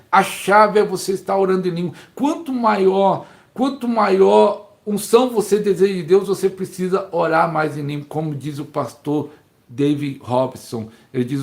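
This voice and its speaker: A man's voice: high voice, creaky. A middle-aged Brazilian man preaching as a pastor, fervently telling a story and repeating phrases for emphasis, recorded at home. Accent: Brazilian Portuguese. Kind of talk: sermon-style teaching. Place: home, neighbor knocking heard.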